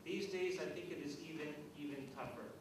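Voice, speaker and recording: A man's voice: a little high, brisk. A man giving a public speech with emphatic, pointed phrasing. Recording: echoey room, distant mic, noisy.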